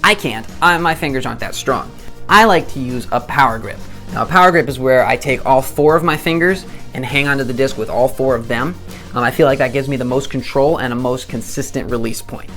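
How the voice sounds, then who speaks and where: A hoarse man in his twenties gives a chatty, self-deprecating tutorial, often restarting his sentences. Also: mid-range voice, brisk, pushed and bright, faint music behind. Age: 20s. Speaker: disc golf instructor. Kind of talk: casual tutorial to camera.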